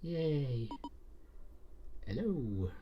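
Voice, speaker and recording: A man's voice: medium pitch, big pitch swings, extremely slow, highs cut off, a little hiss or hum. A man streaming a blind playthrough of a game, talking hesitantly and self-consciously, often trailing off, into a desk mic at home. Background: game music.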